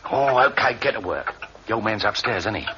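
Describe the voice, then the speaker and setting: A gruff burglar, voiced by an actor in a vintage radio crime play, snapping impatient orders. His voice swings widely in pitch. The recording is dull and lo-fi with a clear hiss. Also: animated, brisk, close to an everyday voice. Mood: hushed. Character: bossy male burglar, impatient and rough. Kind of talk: 1950s radio drama acting.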